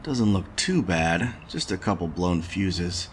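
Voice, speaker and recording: A man's voice: low voice, takes it slowly, noisy background. A man voicing a skeptical, stubborn space station repairman in a noir adventure game, with a wry, questioning manner. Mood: matter-of-fact. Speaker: video game protagonist. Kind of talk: noir point-and-click game voice acting.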